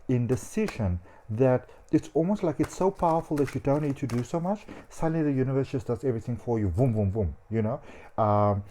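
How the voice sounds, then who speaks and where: A man talks through a tarot reading in a chatty, animated way, sprinkling in "um" and "you know." His voice is mellow and warm. Faint hiss sits underneath. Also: mid-range voice. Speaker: psychic tarot reader.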